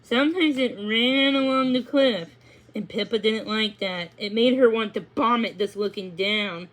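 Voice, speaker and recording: A woman's voice: on the high side, measured pace, poor audio. A woman reading a children's story aloud, now and then tripping over a word and going back to it. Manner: stumbles and rereads words. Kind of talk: read-aloud storybook narration with acted dialogue.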